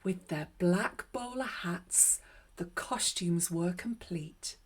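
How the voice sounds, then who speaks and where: A woman narrating a read-aloud story for children in a gentle, unhurried, expressive way. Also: on the low side, breathy edge. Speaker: children's story reader and host.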